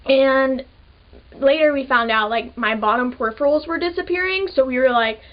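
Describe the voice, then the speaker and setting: A woman's voice: high voice, moderate pace, muffled recording. A twenty-year-old woman telling her own story in a casual, chatty way, full of "like" fillers. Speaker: vlogger. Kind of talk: personal storytelling to camera.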